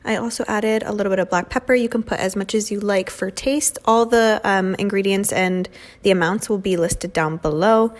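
A woman's voice: medium pitch, moderate pace. Chatty young woman narrating a recipe. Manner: chatty, many "um" and "like" fillers. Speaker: beauty vlogger cooking at home. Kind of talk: casual cooking voice-over. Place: home kitchen.

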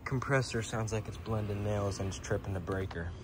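A man's voice: fairly low pitch, a little fast. A man working as an HVAC technician, narrating a job in an offhand, matter-of-fact way outdoors on a phone camera. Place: outdoors beside AC units, rainy. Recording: loud outdoor background noise.

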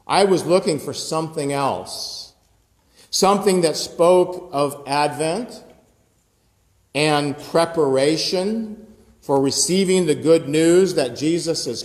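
A pastor in his fifties preaches slowly and chattily, with asides to his listeners. His voice sits a little high for a man. It rings out through a big, echoing church room. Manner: conversational preaching with gestures and asides. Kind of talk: sermon. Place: church sanctuary with high ceiling. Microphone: phone camera close up, looking upward. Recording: echoey church with long reverb.

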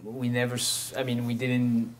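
A man in his thirties with a French accent, a bakery owner talking haltingly in a studio interview. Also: medium pitch, completely flat delivery. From France. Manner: hesitant, many fillers and restarts. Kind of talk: casual interview. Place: small studio set.

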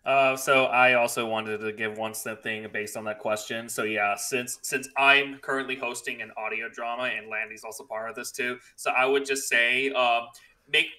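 A man's voice: medium pitch, steady tone, normal speed, pushed and bright. A man hosting an online fan panel, hesitant and restarting his sentences. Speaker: panel host. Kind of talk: casual online panel chat.

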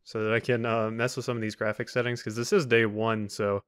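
A man's voice: on the low side, little pitch movement, a little fast, crowd noise. A man giving casual let's play commentary in a dry, joking, sarcastic way. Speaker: gaming video host. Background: video game sounds.

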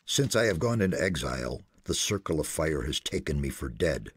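An actor playing a solemn exiled sorcerer in a fantasy game, speaking slowly with grave, portentous weight. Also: male voice, very deep voice, big pitch swings, husky, full character voice. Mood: quiet, cautioning. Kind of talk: dramatic fantasy game narration. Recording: background music behind the voice.

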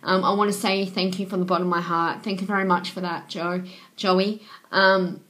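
A young woman, hoarse from a cold, earnestly thanking her viewers one by one in a webcam vlog, full of "um"s and repeated thanks. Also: lowish voice, little pitch movement, moderate pace, a bit bright. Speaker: vlogger. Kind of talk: casual thank-you messages to viewers. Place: small bedroom indoors. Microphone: webcam at desk.

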